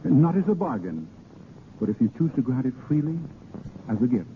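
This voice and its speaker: An actor playing an ardent, gallant vagabond poet posing as a lord, with theatrical, hesitant pauses, in a 1930s radio drama. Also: male voice, mid-range voice, very animated, noisy background, natural voice. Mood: ardent, wooing. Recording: muffled 1930s radio broadcast recording.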